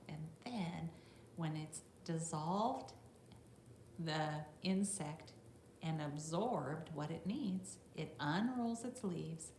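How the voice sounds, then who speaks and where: Middle-aged woman, teacher read-aloud, slightly low pitch, animated intonation, instructive question tags, echoey room with faint noise. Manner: instructive, often checking in with tag questions. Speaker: teacher reading aloud to young children. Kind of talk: picture-book read-aloud with explanatory asides. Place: classroom, indoors. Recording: roomy echo, faint background noise.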